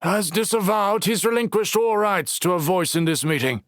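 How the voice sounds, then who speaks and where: Scornful thin councilman as a man does him, higher pitch, dry. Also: normal speed, fairly bright tone, no echo.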